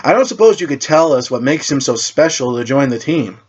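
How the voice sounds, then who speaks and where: A man's voice: medium pitch, expressive, fairly quick, slightly rough, muffled recording, voice pushed up. A man voicing a skeptical, needling teenage speedster hero in a superhero story, with a wry manner. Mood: snarky.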